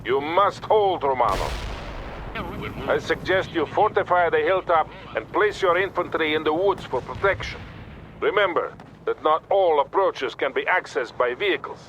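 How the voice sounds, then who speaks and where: An actor playing a stern, composed Soviet army colonel with a Russian accent, giving measured orders in a military video game. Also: male voice, a little high, animated, fairly bright tone. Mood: authoritative. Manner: authoritative, measured, commanding. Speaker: military commander character. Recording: battle gunfire noise behind voice. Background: gunfire, artillery and vehicles.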